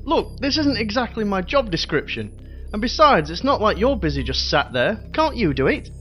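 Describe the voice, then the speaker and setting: An actor playing a put-upon, eager young superhero sidekick in a comedy audio drama. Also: male voice, sits high, sing-song, moderate pace, a bit bright, soft background music.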